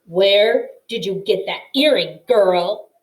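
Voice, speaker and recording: A woman's voice: a little slow, a bit bright, echoey room, recorded from a distance, low-quality recording. A woman voicing a menacing, possessive male owl who shrieks threats. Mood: shrieking. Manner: shrill, threatening.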